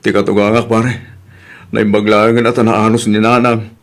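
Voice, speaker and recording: A man's voice: fairly low pitch, level tone, normal speed, close to an everyday voice. A man acting a weary, earnest husband and father in an audio drama, with an Ilocano accent and a plaintive way of talking. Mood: anxious, pleading.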